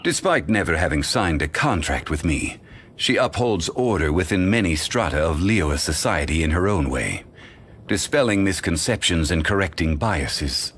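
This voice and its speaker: A deep, ancient, composed sage. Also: male voice, expressive, fairly bright tone, slight background noise. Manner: measured, formal and unhurried. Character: ancient composed male sage, calm and dignified. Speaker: game character voice actor. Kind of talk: studio game voice lines.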